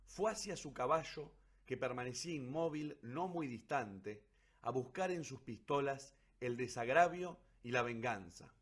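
A man's voice: mid-range voice, animated, a little slow. Man narrating a dramatic radio play.

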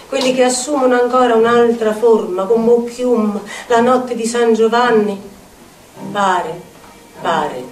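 An actress playing a tormented, eccentric Neapolitan outcast haunted by spirits, in a theatrical monologue with a Neapolitan accent and incantatory, deliberate repetitions. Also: female voice, mid-range voice, a little mellow, slight background noise, natural voice. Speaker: stage actress. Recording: echoey theatre audio, distant, music behind.

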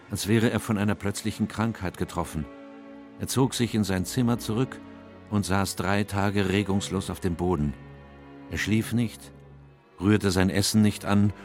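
An actor playing a grave, compassionate gentleman in a radio drama, narrating in a measured, solemn, literary manner. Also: deep voice.

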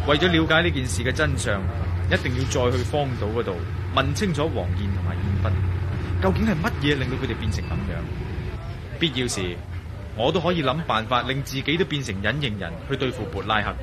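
A man acting a resolute, wary yet reassuring adventurer in a radio drama, with a Hong Kong Cantonese accent, speaking in colloquial, expressive monologue. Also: medium pitch, background noise, natural voice. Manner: colloquial, self-talking, expressive.

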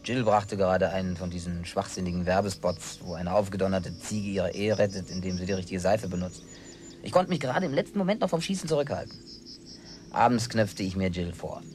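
A man's voice: low-pitched, fairly quick, a little mellow, soft background music, lightly acted. An actor playing a stubborn, simple-hearted survivor in a radio play, telling his story in a colloquial, grumbling way with wry humor. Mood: wry, nostalgic. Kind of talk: German radio play dialogue.

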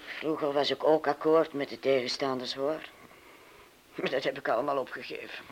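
A man's voice: medium pitch, fairly even intonation, moderate pace, lightly acted. A man acting in a radio play as a disillusioned, talkative young partygoer with a probing, cajoling manner. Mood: world-weary, scornful.

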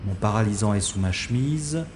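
An actor playing a wry, stubborn special agent who narrates his own science-fiction audio drama in a sardonic, deliberate way. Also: on the low side, lively intonation, background noise, lightly acted. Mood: watchful, wry.

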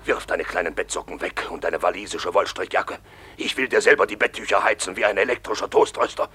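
A forceful, raspy, low-voiced draper, lovesick and ardent. He woos with lavish, effusive devotion in a radio play. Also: fairly even intonation, a little fast, exaggerated voice. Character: lovesick middle-aged male draper, effusive. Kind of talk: radio drama acting.

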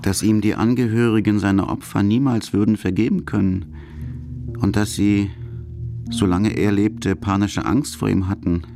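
A man's voice: lowish voice, steady tone, moderate pace, creaky, music in the background. A man narrating a radio documentary in a sober, scripted manner, as a factual reporter of a criminal case.

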